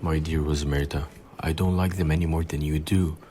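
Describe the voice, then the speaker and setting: Courteous, grave older minister, played by a man, very low-pitched and measured, faint noise behind. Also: fairly even intonation, dark tone. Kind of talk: amateur audio drama acting.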